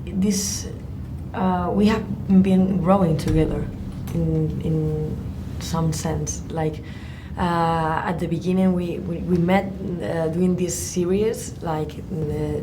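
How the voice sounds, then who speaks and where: A woman with a Spanish accent, a native Spanish speaker, answering interview questions haltingly and searching for English words. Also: deep voice, background noise. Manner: hesitant, searching for words, many restarts. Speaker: actor, interview guest.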